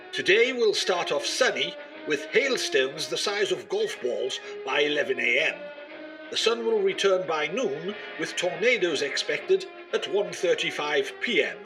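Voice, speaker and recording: Actor as animated radio announcer over music. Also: male voice, a little high, poor audio. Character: earnest radio announcer reading absurd weather bulletin.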